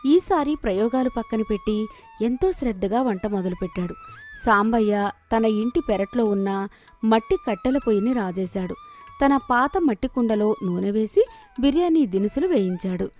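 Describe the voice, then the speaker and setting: A woman's voice: on the high side, animated, normal speed, a little mellow, soft background music. A woman storyteller narrating a scripted moral story.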